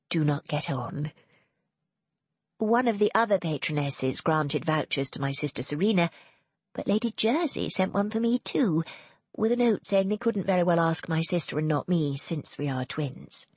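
A woman narrator acts a young society lady in a period romance. She is mild, frank and polite, with a faintly husky tone in a dry recording. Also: on the low side, a little slow, dry recording. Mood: candid, matter-of-fact. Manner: polite, even-tempered.

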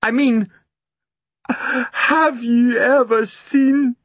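A man reading an audiobook voices a soaked, shaken Scottish postman in an excited, pleading tone. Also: extremely slow, dry recording, exaggerated voice. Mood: hushed, anxious. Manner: excitable, breathless, high-pitched pleading.

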